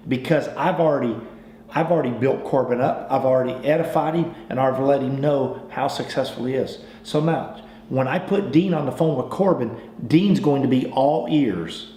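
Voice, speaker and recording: A man's voice: mid-range voice, normal speed, mellow. An American man giving an informal sales training talk, with a folksy, persuasive salesman's manner, repeating phrases and restarting as he goes. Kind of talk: informal training talk with acted example phone calls. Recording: roomy, echoing sound with faint noise.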